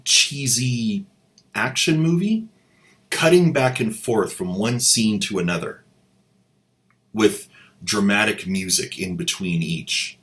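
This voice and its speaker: A man's voice: on the low side, a bit bright. A man hosting a video, giving mocking, irreverent commentary on an old audio drama. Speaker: channel host and commentator. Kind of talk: sarcastic commentary.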